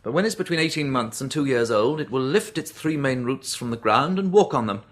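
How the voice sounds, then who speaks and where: A man plays a brisk English company research chief. His voice sits at a middle pitch, and he talks in clipped, probing phrases with a businesslike air, as in a radio play. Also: expressive, close to an everyday voice. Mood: businesslike, intent. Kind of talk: British radio drama acting.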